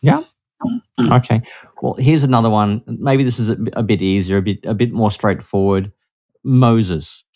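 A man's voice: lowish voice, moderate pace, a little mellow, no echo, studio quality. A man interviewing a guest, giving short affirmations and asking questions in a light, joking way.

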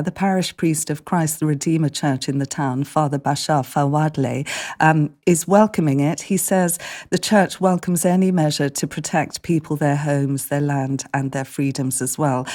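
Low voiced woman doing radio news chat, explaining stories to the presenter, pretty conversational. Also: mellow.

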